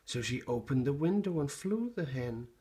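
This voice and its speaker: A man reading a rhyming children's bedtime story aloud in an expressive narrating style. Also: medium pitch, normal speed. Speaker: storybook reader.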